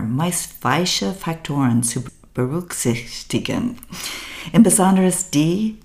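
An older American woman with a very deep voice speaks slowly and deliberately, her accent clear as she hosts a podcast. She reads a prepared monologue in an even, measured way. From United States. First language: English. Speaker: podcast host.

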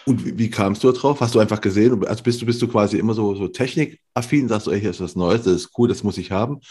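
A man interviewing a guest in casual conversation, asking quick questions that often restart mid-phrase. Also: lowish voice, dark tone, breathy edge. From village in Germany.

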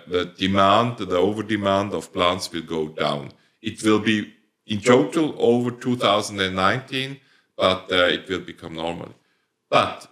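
A man's voice: lowish voice, a bit bright. A man with a Swiss German accent, speaking English as a later language, answering interview questions hesitantly with many fillers and restarts. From Switzerland. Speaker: plant producer, company owner.

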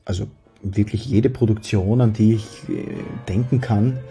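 A man speaking as a choir director, giving a prepared account of his work in a measured, reflective way. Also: fairly low pitch, steady tone, dark tone, faint music behind. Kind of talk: prepared spoken self-introduction.

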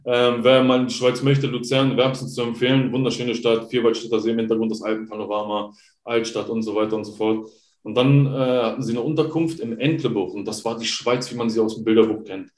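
A man's voice: mid-range voice, fairly even intonation, a little fast, a little mellow. A man in his thirties from Germany, telling stories as an interview guest in a rambling, chatty way with many fillers. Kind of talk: casual interview chat. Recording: roomy echo.

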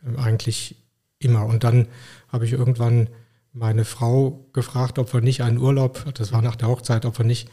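Thoughtful middle-aged man, mellow voice. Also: medium pitch, flat delivery, moderate pace. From Koblenz, Germany. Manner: thoughtful, many hesitations. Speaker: podcast guest, physician. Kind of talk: casual interview talk.

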